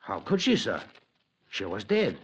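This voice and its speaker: An actor playing a deferential police station sergeant and old guardsman, hesitant with drawn-out delivery, in a 1950s radio drama. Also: fairly low pitch, wildly swooping pitch, husky, heavily acted. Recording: dull lo-fi 1950s radio recording.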